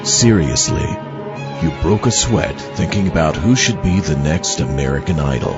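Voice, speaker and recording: A man playing a solemn, deadpan, condescending announcer in a parody political campaign ad. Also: sits low. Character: condescending deep-voiced male political attack-ad announcer. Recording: dull audio over loud music bed.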